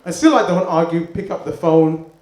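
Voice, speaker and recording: A man's voice: fairly high pitch, lively intonation, moderate pace, darkish tone. A man performing stand-up comedy, telling an anecdote in a conversational way. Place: live comedy venue, on stage. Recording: echoey room.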